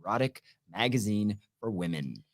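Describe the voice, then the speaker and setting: A man's voice: on the low side, sing-song, normal speed. A man co-hosting a TV review show, telling stories in a casual, playful, emphatic way with exclamations. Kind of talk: casual banter and storytelling.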